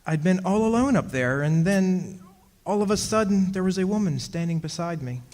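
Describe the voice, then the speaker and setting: Man playing an uneasy high-voiced radio drama narrator. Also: expressive, moderate pace, darkish tone. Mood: eerie, recalling. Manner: conversational, confiding, dramatic. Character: uneasy, confiding immortal man bound to a witch. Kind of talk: stage revival of 1940s radio drama narration.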